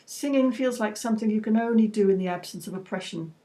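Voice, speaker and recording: A woman with a warm, mellow voice reading her own personal essay aloud. She keeps a measured, reflective pace, with a touch of dry wit. Her pitch sits in an ordinary middle range.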